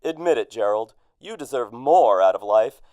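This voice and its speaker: An actor playing a chummy, insistent old-time radio advertising announcer who sells with an insinuating edge. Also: male voice, mid-range voice, animated, normal speed, darkish tone, dry recording, natural voice.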